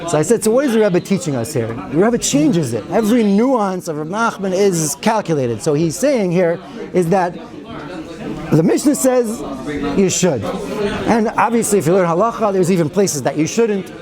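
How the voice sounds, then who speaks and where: A man's voice: sits high, animated, moderate pace, crowd noise. A man teaching a weekly Torah class in a synagogue study hall, chatty and digressive, with wry asides and many Hebrew and Yiddish terms. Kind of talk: informal Torah lecture.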